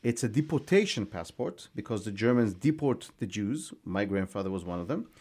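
A man from Israel, a guest in a studio, telling his family history in a measured way with frequent pauses and restarts. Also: on the low side, big pitch swings, a little mellow, breathy edge. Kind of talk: conversational interview answers.

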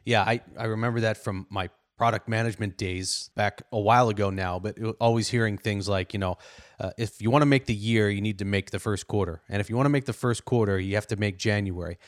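A man's voice: fairly low pitch, steady tone, quick pace, no echo, studio quality. A man hosting an interview podcast, talking in a casual, joking, conversational way. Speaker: podcast host.

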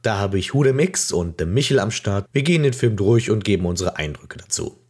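A man with a husky, slightly croaky voice from a cold, sitting low in his register. He chats playfully and loosely as a podcast host, dropping fillers and jokes along the way. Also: expressive, brisk, darkish tone. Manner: chatty, playful, many fillers. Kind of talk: casual podcast talk.

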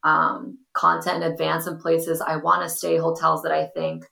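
Woman, young adult, podcast guest, chatty, lots of fillers, gravelly voice, roomy sound. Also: low-pitched, completely flat delivery, normal speed, bright, forceful tone. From Portugal. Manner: chatty, many ums and you-knows. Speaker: guest, influencer. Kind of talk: casual interview talk. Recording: echoey room.

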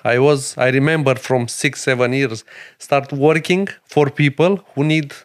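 A middle-aged man from Romania with a Romanian accent, a chef and restaurant owner speaking earnest, halting English in a podcast interview. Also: medium pitch, steady tone. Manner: broken English, earnest and emphatic, many pauses.

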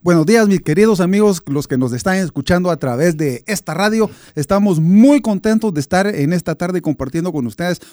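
Male radio co-host from Argentina and Brazil, slightly high voice, dry close studio sound. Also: lively intonation, brisk, few pauses, darkish tone, no echo. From Argentina-born, raised in Brazil. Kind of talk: self-introduction on talk radio. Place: radio booth.

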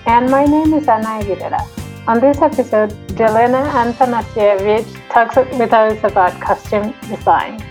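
A woman's voice: fairly high pitch, moderate pace, a little mellow. A woman co-hosting a podcast, reading a scripted introduction. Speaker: podcast co-host. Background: faint guitar music.

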